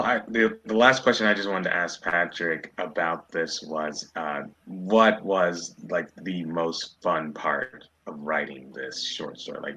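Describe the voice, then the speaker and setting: A man's voice: rumbling low, sharp tone, poor audio. A man in casual chat as a guest panelist asking questions on a podcast.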